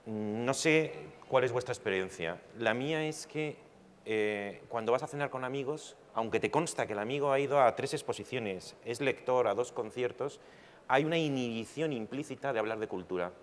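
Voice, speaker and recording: A man's voice: mid-range voice, normal speed. A man speaks as a panelist, reflective and argumentative, often posing rhetorical questions. The sound is roomy with long hall reverb and a faint background hum. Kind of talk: panel discussion. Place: large hall at a public panel.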